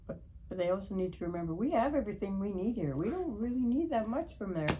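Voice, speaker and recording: A woman's voice: medium pitch, very animated, fast, dark tone, a little hiss or hum. A Canadian woman chatting about her country in an earnest, agreeable way. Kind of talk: casual political chat.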